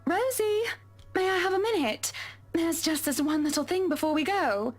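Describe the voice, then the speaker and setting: A woman's voice: extremely high pitch, animated, moderate pace. An actress playing a polite but insistent female client in a visual novel game. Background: music.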